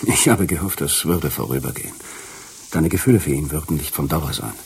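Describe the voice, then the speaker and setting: An actor playing a bitter, wounded betrayed husband in a German radio drama, grave and controlled, with a low, husky voice. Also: male voice, fairly quick, full character voice. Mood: bitter, hurt.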